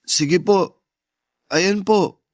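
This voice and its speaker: A man's voice: high-pitched, very slow, dry recording, clean studio sound. A young man playing a shy, apologetic son in a Tagalog radio drama, answering politely and timidly in his own defense. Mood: puzzled, obedient.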